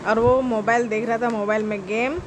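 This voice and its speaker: High-voiced chatty woman vlogger. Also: a little fast, noisy background. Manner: chatty, excited, addresses viewers directly. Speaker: travel vlogger. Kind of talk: casual vlog voice-over.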